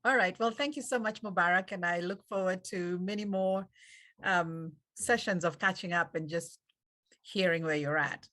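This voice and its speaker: A woman with a Kenyan accent hosting an online panel, speaking in an encouraging, unhurried way with fillers, over a video call. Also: on the low side, fairly bright tone. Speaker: event host. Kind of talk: warm panel moderation.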